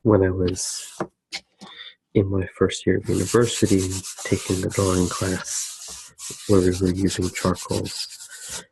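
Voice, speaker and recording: A man giving soft-spoken ASMR commentary as he draws, musing aloud in a hesitant way with pauses. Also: sits low, completely flat delivery, vocal fry, a little hiss or hum, rough recording. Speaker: host of an ASMR drawing channel.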